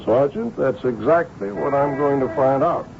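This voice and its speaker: An actor playing a seasoned, shrewd police inspector who tells his case in a measured, wry way in a radio drama. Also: mid-range voice, animated, gravelly, very noisy. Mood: watchful, decisive. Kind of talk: radio drama acting with first-person narration. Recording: dull old radio broadcast.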